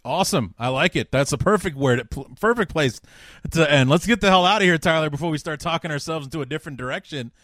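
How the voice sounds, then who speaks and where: A man hosting a football podcast, with a baritone voice and enthusiastic, joking banter in casual chat. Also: expressive, fast, fairly bright tone, dry recording, clean studio sound. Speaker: podcast host.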